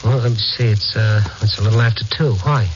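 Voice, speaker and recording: An actor playing a wry, dogged investigator who narrates and questions people in a 1950s radio drama. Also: male voice, on the low side, steady tone, brisk, noisy background, close to an everyday voice. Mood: probing. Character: wry, dogged male investigator narrating his night beat. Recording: muffled vintage radio recording, music behind.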